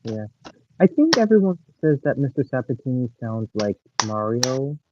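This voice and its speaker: A late-teen guy talking on a stream call, his pitch swinging up and down as he makes his points. He hesitates and restarts, then argues with conviction. The line sounds thin and phone-like, with a faint hiss behind it. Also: normal speed. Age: late teens. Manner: hesitant, animated, opinionated fan. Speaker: stream guest. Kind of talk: casual chat.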